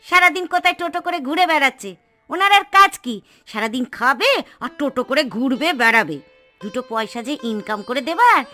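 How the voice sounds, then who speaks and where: A woman playing a bitter, sharp-tongued middle-aged aunt in a cartoon, scolding and sarcastic. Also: very high-pitched, heavily acted. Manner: scolding, sarcastic, drawn-out complaining. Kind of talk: cartoon voice acting.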